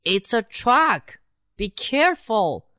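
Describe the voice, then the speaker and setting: A woman's voice: sing-song, bright, forceful tone, dry recording, studio quality, heavily acted. Boastful city mouse, as a woman reads it. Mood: eager. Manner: slow, bouncy storybook voice with big pitch swings. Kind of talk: children's storybook reading.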